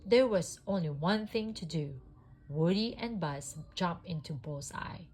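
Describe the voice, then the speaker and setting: A sniffly woman reading a children's storybook aloud in an expressive, homemade style. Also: on the low side, measured pace, breathy edge.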